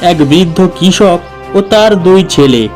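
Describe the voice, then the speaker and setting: A man reads scripted story narration for a cartoon. His voice is notably high for a man. Music plays clearly underneath. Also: lively intonation, unhurried, a little mellow.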